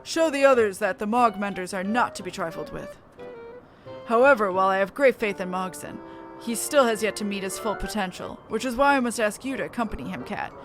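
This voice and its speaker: A woman voicing a steadfast, formal noble mentor in a fantasy game, speaking in a measured, exhorting way. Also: mid-range voice, lively intonation, faint music behind, lightly acted. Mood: encouraging.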